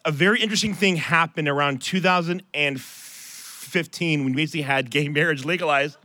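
A man's voice: a little high, a little fast, pushed and bright, no room sound. An American man co-hosting a live podcast, bantering casually with sarcastic, self-deprecating jokes and rambling asides. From Ohio, United States. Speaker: podcast co-host. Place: on stage before a live audience. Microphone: close stage microphone.

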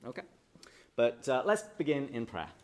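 A man's voice: mid-range voice, very animated, normal speed, a little mellow. Joking English man quizzing a crowd. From England. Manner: jokey and chatty, quizzes the audience, then leads prayer solemnly. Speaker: speaker at a parish talk. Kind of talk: humorous church talk to a live audience. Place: hall with an audience.

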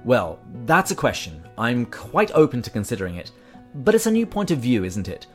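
A man voicing a fussy, evasive male ghost who pleads and makes excuses in a higher, strained voice. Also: wildly swooping pitch, moderate pace, faint music behind. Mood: pleading. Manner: whiny, pleading, self-excusing.

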